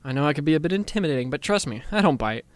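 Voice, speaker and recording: A man's voice: a little high, expressive, racing, no room sound, natural voice. Guy acting as smug chatty boss, teasing and a bit intimidating, asmr roleplay, super clean dry sound. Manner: teasing, self-satisfied, chatty.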